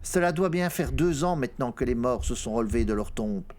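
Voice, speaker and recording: A man's voice: mid-range voice, animated, brisk, darkish tone. A man narrating an audiobook, telling a first-person horror story in a dramatic style.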